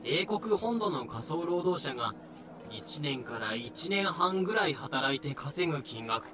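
A man narrating as a deadpan explainer in an audio drama, giving clipped, dictionary-like notes. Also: on the high side, measured pace. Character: deadpan explainer of historical terms. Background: clear background music.

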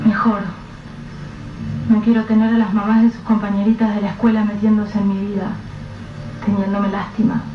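A woman's voice: medium pitch, lightly acted. A woman playing a distraught young mother whose husband has vanished, snapping and swearing in bursts. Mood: weary. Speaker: lead character. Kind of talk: radio drama acting. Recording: roomy, dull, noisy radio-play recording.

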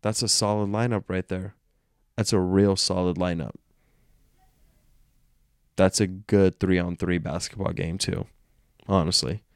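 A man's voice: low-pitched, fairly even intonation, a little slow, long pauses, no echo, clean studio sound. A man hosting a casual solo podcast, congested and nasal from illness, rambling and self-aware. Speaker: podcast host.

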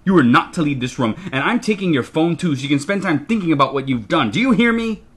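A man's voice: on the low side, animated, a little slow. An angry father played by a male narrator lays down the law to his child in an audiobook. He is stern and mortified, seething through clenched teeth and leaning hard on his words. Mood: angry, ashamed. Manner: seething, emphatic, hissing through gritted teeth. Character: stern, enraged, embarrassed father scolding his daughter. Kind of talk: audiobook character dialogue.

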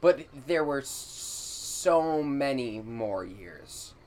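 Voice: medium pitch, animated, drawn-out words, darkish tone. A twenty-four-year-old co-hosts a loose podcast, teasing a friend and laughing and swearing as they go. The voice sits in a middling register. A faint hiss runs under the recording. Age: 20s. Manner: teasing, laughs often, profane. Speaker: podcast co-host. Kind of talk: casual chat.

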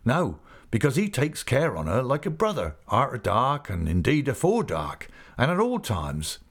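A man's voice: mid-range voice, wildly swooping pitch, normal speed, voice pushed up. A man voicing a hearty old male fisherman who talks in a broad rustic dialect. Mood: jubilant.

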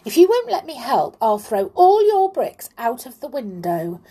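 A woman voicing a bossy, husky girl doll. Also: mid-range voice, sing-song, slow pace, dark tone, full character voice. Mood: defiant, cross. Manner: pushy, defiant, emphatic. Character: bossy, hot-tempered naughty girl doll. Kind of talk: children's storybook character voice.

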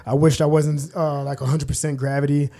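A young man from Kansas, a podcast guest telling his life story in a casual, halting way, full of fillers and restarts. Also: on the high side, flat delivery, warm tone. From Kansas, USA. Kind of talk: casual conversational interview.

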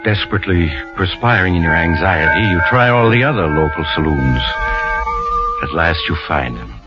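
Deep, sinister radio narrator. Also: male voice, expressive. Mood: ominous, knowing. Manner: dramatic, insinuating, deliberate emphasis. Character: sinister, knowing male storyteller addressing the culprit. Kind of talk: old-time radio suspense narration. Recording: muffled old radio recording. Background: loud dramatic music.

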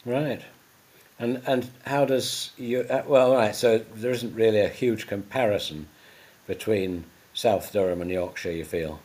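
A man acting as interviewer in an informal church conversation, explaining things in a slightly halting way. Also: fairly low pitch, steady tone, a little mellow.

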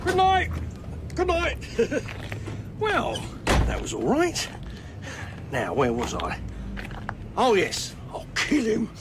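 A man's voice: very high-pitched, huge pitch swings, slow, pauses often, noisy background, exaggerated voice. A man playing an unhinged, vengeful villain with an English accent, who mixes affable small talk with threats in a comic radio drama. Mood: manic, giggly. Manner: muttering threats between polite small talk.

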